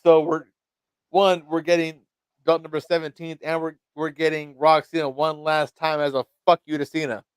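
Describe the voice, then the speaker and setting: A man's voice: on the high side, level tone, normal speed, clean tone, dry recording. A man hosting a casual wrestling talk stream, often stammering and restarting as he thinks aloud, chuckling at his own ideas. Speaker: wrestling show host. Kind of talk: casual livestream chat.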